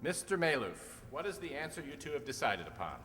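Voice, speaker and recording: A sharp, forceful quiz show host from old-time radio played by a man. He runs the game from a stage, and his voice rings through a long hall reverb. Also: on the high side, very animated, a little fast, faint music behind. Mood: measured.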